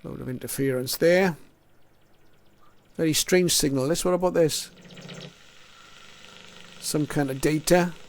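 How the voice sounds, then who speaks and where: A man's voice: on the high side, measured pace, pauses often. A man giving casual, unscripted commentary as he tunes a radio, thinking aloud in short remarks. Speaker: radio hobbyist host. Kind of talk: casual screen-recorded tuning commentary. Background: radio music and signals from the receiver.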